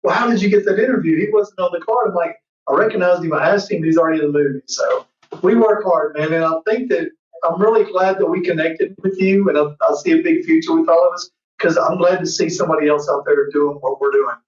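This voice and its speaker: A man in his fifties, a guest who hosts an entertainment show, telling rambling, excited stories in casual chat over a video call. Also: on the high side, fast talker. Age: 50s. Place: indoor room. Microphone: far camera on a video call. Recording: roomy, distant, muffled video-call audio.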